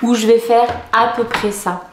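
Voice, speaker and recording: A woman's voice: a little high, fairly even intonation, moderate pace, slight background noise. A middle-aged woman chatting casually in a home vlog, self-mocking, with lots of fillers and restarts. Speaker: vlogger. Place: home kitchen and dining room. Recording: echoey room.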